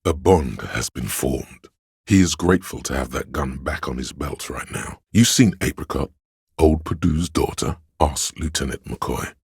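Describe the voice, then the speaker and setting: A man playing a solemn, all-knowing inner-voice narrator in a video game, with a gravelly, husky voice and a measured, literary delivery. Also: extremely low pitch, animated, darkish tone, no echo, studio quality, exaggerated voice. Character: omniscient, solemn inner-voice narrator of police camaraderie. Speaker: voice actor narrating a character's inner voice.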